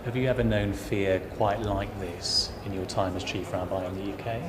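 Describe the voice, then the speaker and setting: A man giving a measured, serious television news report as the reporter. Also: on the low side, steady tone, noisy background. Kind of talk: scripted news report and live studio analysis.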